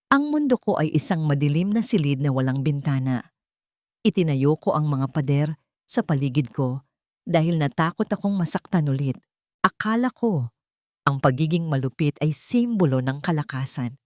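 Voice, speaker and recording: A woman's voice: low voice, animated, moderate pace, a little mellow, dry recording, clean studio sound. A woman voicing an earnest, loving businessman in a romance story, serious and emotional. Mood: serious, tender. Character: earnest, loving young businessman proposing marriage.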